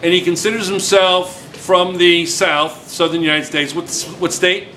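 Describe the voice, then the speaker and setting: Teacher guy joking around with his class, kinda high voice, noisy room. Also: little pitch movement, unhurried, sharp tone. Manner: chatty, teasing, repeats students' answers. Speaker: physics teacher. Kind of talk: classroom talk with students.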